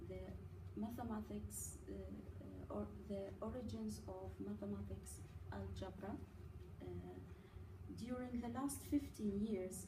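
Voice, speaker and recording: A woman from Iraq giving a prepared academic presentation, halting often with fillers as she reads. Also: mid-range voice. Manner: halting, many uh fillers, careful delivery. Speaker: student presenter. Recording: echoey room from a distance with noise.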